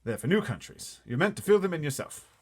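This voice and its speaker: A man's voice: on the high side, sing-song, fast, slightly rough, voice pushed up. A man voicing a cheerful, fearless young helicopter pilot in a storybook readaloud, breezy and unflappable. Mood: cheerful, assured.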